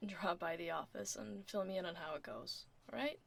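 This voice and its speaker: Female dragon office lady, played by an actress, ASMR roleplay. Lowish voice, heavy fry, casual dry delivery, blunt yet caring. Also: moderate pace. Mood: serious, casual. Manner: casual, dry, low-key, softly spoken.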